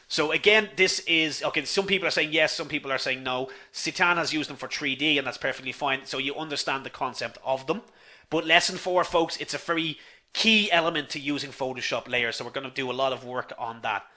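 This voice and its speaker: Man, Dublin accent, live online tutor, slightly high voice, bright tone, chatty, keeps saying folks. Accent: Dublin Irish. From Ireland. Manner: chatty, says "folks" often, reads viewer comments aloud. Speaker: online instructor.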